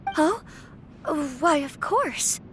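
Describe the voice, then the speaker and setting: A gentle young lady of rank speaks in a very high, lively voice. She is a courteous anime-style game heroine. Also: drawn-out words, slight background noise, heavily acted. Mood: surprised, curious. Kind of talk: anime-like video game dialogue.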